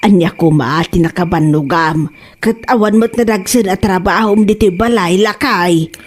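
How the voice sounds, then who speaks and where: A woman's voice: on the low side, moderate pace, close to an everyday voice. A woman playing a nagging middle-aged mother and wife in an Ilocano comedy drama, with a Filipino Ilocano accent.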